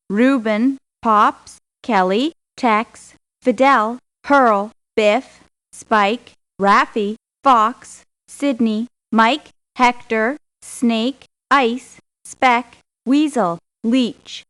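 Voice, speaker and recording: An actress playing a proud, aggrieved mercenary for hire in a video game, with a curt, assertive delivery. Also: female voice, fairly high pitch, fairly bright tone. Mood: flat roll call. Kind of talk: scripted video game voice lines. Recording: lo-fi muffled game clips in places.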